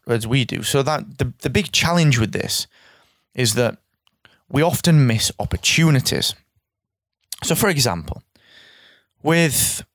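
A man hosting his own podcast, talking in an energetic, chatty way with a hoarse, croaky voice. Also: medium pitch, dry recording, studio quality. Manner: energetic, chatty, repeats words. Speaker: podcast host. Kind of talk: straight-talking solo podcast.

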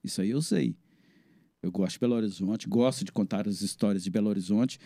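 Mellow-voiced man in his sixties. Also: on the low side, gravelly, no room sound, clean studio sound. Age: 60s. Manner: plain-spoken, evasive, drawn-out storytelling. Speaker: guest. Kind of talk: casual interview talk.

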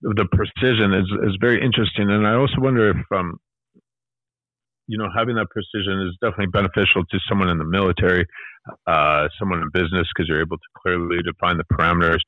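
A man hosting a casual interview, asking thoughtful, measured questions with many fillers. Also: deep voice, fairly even intonation, dry recording. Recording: tinny phone-like line.

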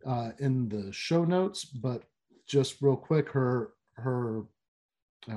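A man's voice: medium pitch, mellow. A man hosting a podcast interview, speaking with frequent fillers and restarts. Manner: halting, many fillers and restarts. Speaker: podcast host.

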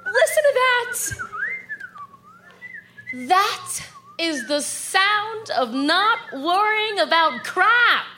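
A very high-pitched, doting woman. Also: very animated, drawn-out words, background noise, exaggerated voice. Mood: reassuring. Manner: gushing, reassuring. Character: bright-eyed, doting woman delighted by her rustic cottage. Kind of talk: horror audio drama acting.

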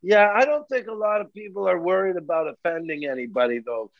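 A man's voice: high voice, a little fast, vocal fry, dry recording, phone-quality sound. A man chatting casually as an interview guest, joking around in an irreverent, sweary way.

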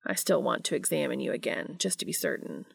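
A woman's voice: deep voice, lively intonation, brisk, vocal fry, husky, voice pushed down, heavily acted. A woman voicing an earnest, concerned, awkward man in an audiobook. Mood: concerned, clinical. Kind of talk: audiobook character dialogue.

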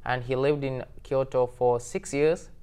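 A man's voice: medium pitch, steady tone, measured pace, warm tone, clean tone. Casual eager sri guy in his 20s, lots of short yeses and ums. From Sri Lanka. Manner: earnest, agreeable, with many short yeses and some hesitant ums. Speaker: interview guest. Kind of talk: casual interview answers.

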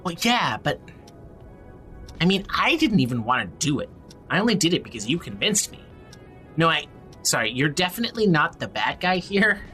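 Audio drama role: tired, wisecracking young man on the run, sharp tone, expressive, casual and sardonic, light music beneath. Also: on the high side, moderate pace, pauses often. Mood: bitter, earnest. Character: tired, sardonic, joking young fugitive from family. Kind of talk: naturalistic audio drama acting.

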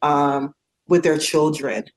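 A woman with a somewhat low voice talks in an earnest, outspoken way and uses frequent fillers. She sounds as if she is in an echoey room. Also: little pitch movement, takes it slowly. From United States. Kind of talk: casual conversation.

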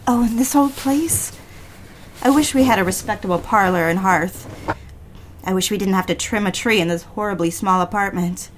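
An actress playing a devoted, anxious young bride-to-be in a period audio drama, speaking earnestly and properly. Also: female voice, mid-range voice, moderate pace, background noise, natural voice. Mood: wistful, hesitant.

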